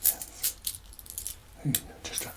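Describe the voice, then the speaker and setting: A man doing a soft-spoken ASMR roleplay as a tax collector, hesitant and conspiratorial, often dropping into whispers. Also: extremely slow, noisy background.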